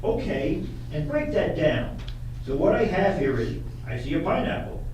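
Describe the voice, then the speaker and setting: Man acting high-voiced pedantic lawyer, very echoey. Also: very animated, normal speed, darkish tone. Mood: pressing, insistent. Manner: insistent, repetitive, pedantic. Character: pedantic, insistent male plaintiff's attorney puppet. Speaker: attorney questioning a witness. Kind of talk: courtroom cross-examination re-enactment. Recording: echoey room, distant mic, faint noise.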